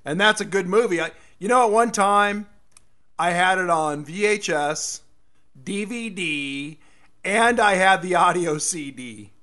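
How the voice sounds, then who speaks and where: A middle-aged American man hosting a casual solo radio show, rambling and folksy, with a slightly hoarse voice. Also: high-pitched, a little slow, bright, forceful tone. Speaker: radio and podcast host.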